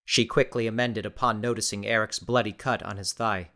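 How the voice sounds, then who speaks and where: A man's voice: on the low side, steady tone, fairly quick, a bit bright. A man reading scripted, dramatic story narration as an audiobook narrator.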